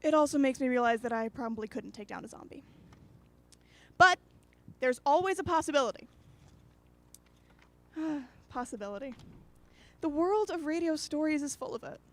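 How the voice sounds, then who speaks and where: A woman's voice: sits high, animated, moderate pace, long pauses. A woman playing a reflective, whimsical storytelling host, narrating a radio anthology in a musing, theatrical way.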